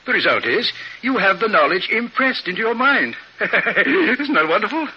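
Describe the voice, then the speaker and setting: An excitable, theatrical professor, old radio. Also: male voice, sits high, moderate pace, husky, heavily acted. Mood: gleefully coaxing. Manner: theatrical, chuckling, hesitant asides. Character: eager, eccentric male scientist professor, gleefully overenthusiastic. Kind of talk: 1950s radio drama acting. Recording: dull, noisy old radio recording.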